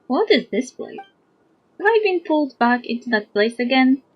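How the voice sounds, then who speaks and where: A woman's voice: sits high, sing-song, normal speed, husky. A woman livestreamer reading game dialogue aloud, with slightly dull audio. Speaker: streamer. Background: background game music.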